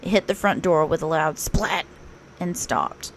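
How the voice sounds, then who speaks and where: A woman narrating a children's story aloud in an expressive read-aloud style, like a teacher. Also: sits low, a little slow, a little hiss or hum.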